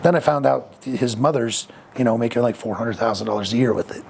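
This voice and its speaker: A man's voice: mid-range voice, fairly quick, gravelly, darkish tone, slight background noise. A man talking as a podcast guest, frank and reflective, with frequent fillers and restarts. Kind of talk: candid conversational storytelling.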